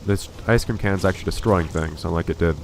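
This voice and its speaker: A man's voice: sits low, a little fast, vocal fry, slight background noise. A man with a stuffy, congested voice giving dry, wry commentary while playing a video game. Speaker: let's play host. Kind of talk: casual gameplay commentary.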